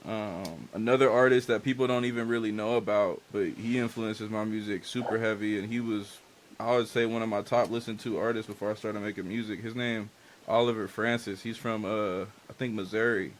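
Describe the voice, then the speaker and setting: A man's voice: lowish voice, fairly even intonation, fairly quick, a little hiss or hum. A young man whose first language is Spanish, a music artist and engineer, talking loosely about his influences in a casual interview and leaning heavily on "like" and slang. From Dominican and Haitian family. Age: young adult. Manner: loose and candid, heavy on "like" and slang.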